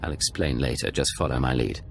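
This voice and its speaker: An actor playing a guarded secret agent in a video game, speaking in a terse, controlled way. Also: male voice, rumbling low, fairly quick, vocal fry, faint music behind.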